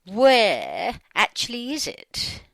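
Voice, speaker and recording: A British-accented woman reading her side of a dialogue in a chatty, wry, expressive conversational style. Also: a little high, slow, gravelly, no echo, clean studio sound.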